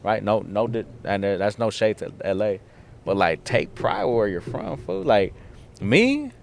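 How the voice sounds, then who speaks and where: Laid-back low-voiced young man from the Valley. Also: very animated, a little slow. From San Fernando Valley, California. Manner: laid-back slangy talk, lots of "like" and "dog". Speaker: guest musician. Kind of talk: casual interview chat. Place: outdoors in front of a theater.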